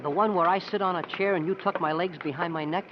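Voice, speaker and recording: A man's voice: sits high, fairly even intonation, fast talker, noisy background, natural voice. An actor playing a sixteen-year-old travelling acrobat who tells his own story plainly in a 1950s radio drama. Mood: doubtful. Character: sixteen-year-old acrobat boy, looking back as narrator. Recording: dull old radio recording.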